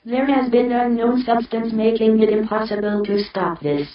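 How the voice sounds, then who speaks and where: An earnest female researcher acted by a woman delivers urgent doomsday findings in an amateur audio drama. Her speech is formal and halting. The sound is heavily echoing, distant and muffled. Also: fairly high pitch, steady tone, close to an everyday voice.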